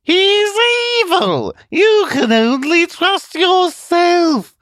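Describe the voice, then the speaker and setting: A sinister talking television, played by a male narrator in an audiobook. It taunts in a shrill, high, wildly swooping voice. The sound is dry and close. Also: sing-song, very slow, fairly bright tone, no room sound, heavily acted. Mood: taunting.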